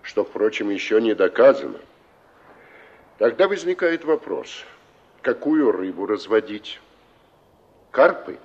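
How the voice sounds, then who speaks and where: An actor playing a skeptical, wordy leader of a fishing crew, explaining rhetorically in a Soviet radio drama. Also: male voice, fairly low pitch, sing-song, unhurried, pauses often, a little mellow, slightly rough, heavily acted. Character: skeptical fishermen's brigade leader, wordy and dismissive. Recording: muffled 1960s radio play recording.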